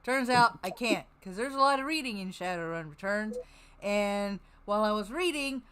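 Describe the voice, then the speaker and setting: A woman's voice: medium pitch, animated, normal speed, a bit bright, clean tone. A woman hosting an old-time radio listening stream, chatting casually and sometimes reading out show notes.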